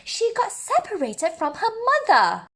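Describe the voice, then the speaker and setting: Storybook zookeeper, female, played by a woman reader: very high, swooping, emphatic official tour-guide delivery. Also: huge pitch swings, measured pace, a bit bright, full character voice. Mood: surprised relief. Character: bright, official female zookeeper, scolding or grateful. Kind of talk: children's storybook character voice.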